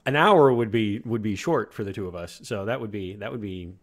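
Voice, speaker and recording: Low-voiced rambling podcast host. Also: male voice, lively intonation, fairly quick. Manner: rambling, many fillers, loose and friendly. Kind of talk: casual video-call interview. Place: room at home. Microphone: headset with desk mic on video call.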